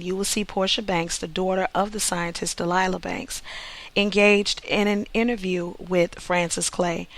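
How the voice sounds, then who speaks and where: Measured playwright introducing a stage scene. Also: mid-range voice, fairly bright tone, low-quality recording. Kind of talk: informal spoken introduction to camera.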